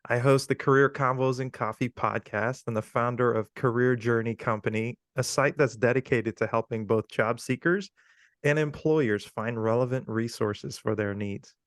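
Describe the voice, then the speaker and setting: A man in his forties from Guyana, a podcast guest talking in a relaxed, chatty way with frequent ums. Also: mid-range voice, moderate pace, no echo, clean studio sound. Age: 40s. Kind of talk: casual interview talk.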